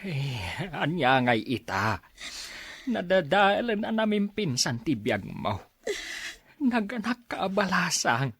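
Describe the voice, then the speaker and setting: A woman's voice: fairly low pitch, big pitch swings, slow, husky, dry recording, exaggerated voice. An actress with a Filipino accent playing a worried, reproachful mother in an Ilocano radio drama. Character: worried, reproachful mother scolding her grown child.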